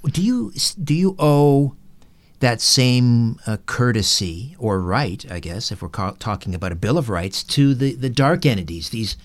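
Measured male interviewer, mid-pitched. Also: very animated. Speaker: interviewer, show host. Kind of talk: interview questioning, reading out quoted points.

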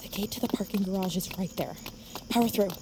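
A woman's voice: medium pitch, quick pace, background noise, close to an everyday voice. A woman playing a wisecracking young woman on the run in a naturalistic audio drama, with a wry, incredulous manner. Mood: wry, urgent.

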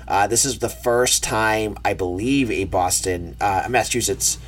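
A man's voice: lowish voice, unhurried. A man with a nasal, congested voice from a cold, hosting a sports podcast in a rambling, chatty way. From New England. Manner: rambling, self-correcting, chatty. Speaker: podcast host. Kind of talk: casual sports podcast talk.

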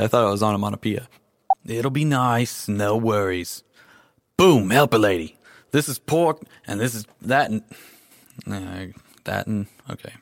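A man's voice: fairly low pitch, vocal fry, slight background noise. A man recording voice lines who keeps flubbing and criticizing his own takes. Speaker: voice actor. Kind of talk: recording session outtakes.

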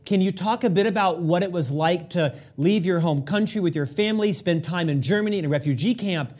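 Male interview host, effusive, asks long-winded questions, high-pitched. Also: a little fast, darkish tone. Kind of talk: interview hosting.

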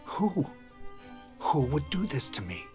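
An actor playing a proud, ailing president who sounds weary and wounded, in intimate audio drama dialogue over music. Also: male voice, fairly high pitch, sing-song, slow, darkish tone. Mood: bitter, wounded.

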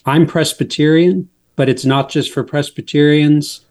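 A man who is a guest author, explaining his faith in a measured, steady interview manner. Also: a little high.

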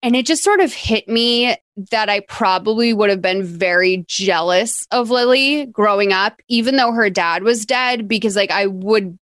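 A woman's voice: mid-range voice, moderate pace, hardly any pauses, a bit bright, no room sound, studio quality. A woman from Massachusetts hosting a podcast, recapping a film in a chatty, rambling way with plenty of "like.". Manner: chatty, rambling, lots of "like" fillers. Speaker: podcast host. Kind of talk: casual chat recapping a film.

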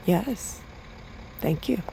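Enigmatic young escort for an audio drama: muted, dusky tone, soft and sparse, naive yet dangerous. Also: female voice, mid-range voice, lively intonation, vocal fry, slight background noise, exaggerated voice, rough recording. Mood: reserved, curious. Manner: soft, measured, sparse short replies. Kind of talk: first-person audio drama narration and dialogue.

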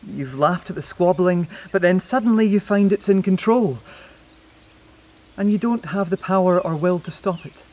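An actor playing a wistful, reflective man in a naturalistic audio play, speaking haltingly and lyrically. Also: high-pitched, a little mellow. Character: wistful, elated man saying goodbye to his beloved. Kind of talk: naturalistic audio drama acting.

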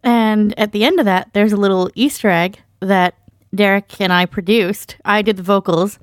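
A woman talks in a relaxed, chatty way about producing podcasts, laughing now and then. Her voice sits at an ordinary pitch and is recorded dry and close, with no room sound. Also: moderate pace, no room sound. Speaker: co-content director. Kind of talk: casual podcast chat.

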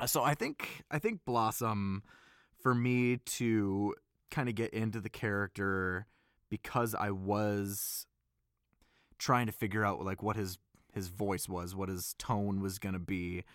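A man with a fairly low voice chats casually as an interview guest. He rambles and halts as he thinks, often self-deprecating. The sound is close and dry. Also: fairly even intonation, slow pace, fairly bright tone, dry recording. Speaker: voice actor, panel guest. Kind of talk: casual behind-the-scenes interview.

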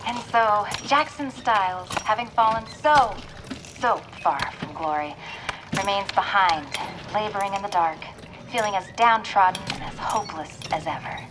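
A woman's voice: medium pitch, lively intonation, normal speed, noisy background, natural voice. A woman playing a melodramatic, fumbling radio announcer who narrates grandly in a scripted audio drama. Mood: grandly mournful.

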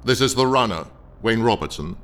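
An actor playing a cold, menacing corporate training engineer in an audio drama, speaking in a clinical, curt, commanding manner. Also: male voice, mid-range voice, expressive, normal speed, a bit bright, soft background music, lightly acted. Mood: curt, impatient.

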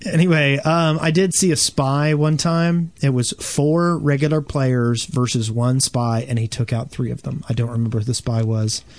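A man's voice: medium pitch, steady tone, moderate pace, hardly any pauses, no room sound. A man co-hosting a podcast, chatting casually and telling anecdotes. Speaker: podcast co-host.

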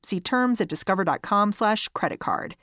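A woman's voice: mid-range voice, lively intonation, moderate pace, fairly bright tone, no echo, clean studio sound. A woman announcer giving an upbeat, polished ad read. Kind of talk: scripted ad read.

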